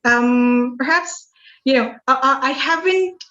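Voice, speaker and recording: A woman's voice: sits high, takes it slowly, pushed and bright, soft background music. A woman from Indonesia moderating a webinar over a video call, chatty as she reads out audience questions. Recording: dull lo-fi video call audio.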